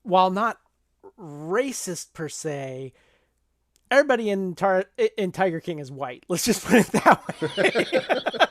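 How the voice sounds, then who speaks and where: A man with a fairly high voice talks through films as a podcast co-host. His delivery is halting and explanatory, and the sound is close and dry, with little room around it. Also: very animated, a bit bright, dry recording. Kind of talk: casual film discussion.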